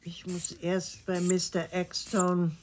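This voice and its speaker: A woman's voice: on the low side, completely flat delivery, slow pace, darkish tone, roomy sound, a little hiss or hum. An actress playing a fussy, bossy, solicitous guesthouse lady in a German radio drama, chatty and bustling. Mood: matter-of-fact.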